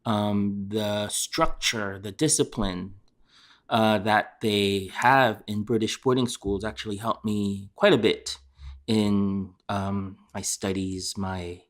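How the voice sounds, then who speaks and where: Low-voiced man, halting interview answers. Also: fairly even intonation. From Korea. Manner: hesitant, many fillers and restarts. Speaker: chef and restaurant owner.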